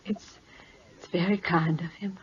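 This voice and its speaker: A woman's voice: fairly low pitch, steady tone, unhurried, slightly rough. A woman playing a tender, proper Victorian schoolteacher and mother, earnest and emotional in an old radio drama. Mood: subdued, grateful. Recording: muffled vintage radio broadcast.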